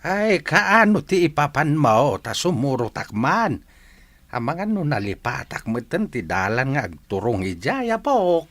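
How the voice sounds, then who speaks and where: A man's voice: a little high, very animated, unhurried, a bit bright, no room sound, heavily acted. An actor with a Filipino accent playing a folksy, forgetful village grandfather in a radio comedy drama. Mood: folksy, musing. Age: older.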